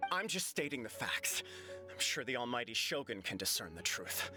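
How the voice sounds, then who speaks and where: An actor voicing a composed male clan elder in a video game, speaking calmly and matter-of-factly. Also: mid-range voice, moderate pace, natural voice. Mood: earnest. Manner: calm, matter-of-fact. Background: game music.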